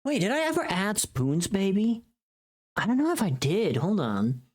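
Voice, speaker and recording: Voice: mid-range voice, sing-song, normal speed, darkish tone. A livestream host chatting casually, rambling and thinking aloud to themselves.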